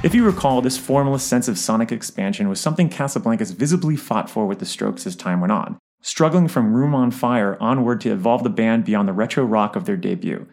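A man's voice: on the low side, lively intonation, brisk, few pauses, darkish tone, faint music behind. A man reading a scripted album review as narration.

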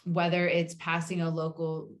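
A woman of about thirty with a notably low voice leads a panel in a casual, earnest way. She stutters lightly, catching on first sounds before going on. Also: completely flat delivery, moderate pace. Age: around 30. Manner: person who stutters, with part-word repeats. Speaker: moderator.

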